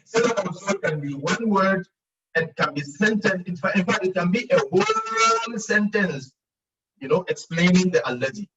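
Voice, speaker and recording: A man's voice: high voice, big pitch swings, moderate pace, recorded from a distance, muffled recording, noisy background. A man teaching Arabic grammar in an online class, explaining patiently with fillers and repeats, sometimes acting out students' questions. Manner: explains patiently with frequent repeats and fillers, laughs at own jokes; acts out students' questions in a raised voice.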